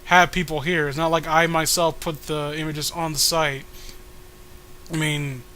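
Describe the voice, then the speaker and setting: A man playing a mild, reasonable young website owner and troll in a comic reading, explaining things in a measured, hesitant way. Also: a little high, level tone, pushed and bright, slight background noise. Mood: calm, reasonable.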